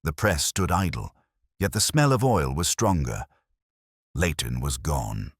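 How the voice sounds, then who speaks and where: Very deep-voiced male suspense narrator. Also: sing-song, slightly rough, no echo, clean studio sound. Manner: measured, solemn, suspenseful delivery. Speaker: audiobook narrator of a detective story. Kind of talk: scripted dramatic story narration.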